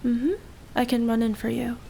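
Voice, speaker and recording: A woman playing a practical, concerned young woman in an audio roleplay, speaking in a measured, matter-of-fact way. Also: a little high, completely flat delivery, gravelly, mellow, slight background noise. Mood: calm, concerned.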